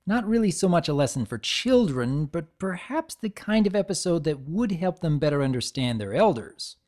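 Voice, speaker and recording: A man's voice: a little high, normal speed. A man narrating fan fiction in a theatrical, scripted dramatic reading style. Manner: expressive, theatrical.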